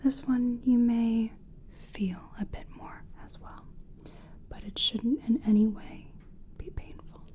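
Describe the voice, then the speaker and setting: Woman, ASMR roleplay, breathy whisper, mellow, unhurried, soothing, gentle practitioner manner. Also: a little high, steady tone. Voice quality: breathy, mostly unvoiced whisper. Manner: gentle, soothing, deliberate, reassuring. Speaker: ASMR performer playing a soul-cleansing practitioner.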